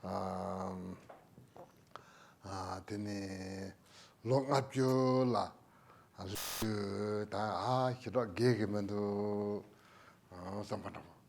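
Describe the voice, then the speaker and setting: A man giving an unhurried Buddhist Dharma teaching in Tibetan, laughing now and then between points. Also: on the low side, fairly even intonation, creaky, a little mellow.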